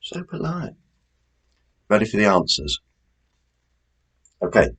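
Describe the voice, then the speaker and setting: A man hosting a quiz, reading out questions and answers in a deliberate, measured way with informal asides. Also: fairly low pitch, wildly swooping pitch, a bit bright, slightly rough. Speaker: quiz host.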